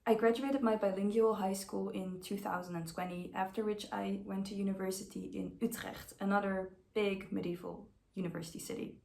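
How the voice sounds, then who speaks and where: A woman's voice: mid-range voice, fairly even intonation, fairly quick, vocal fry, few pauses, a little mellow. A woman in her twenties from the Netherlands, speaking English, giving an earnest, conversational self-introduction. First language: Dutch. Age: 20s. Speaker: au pair applicant. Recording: roomy echo.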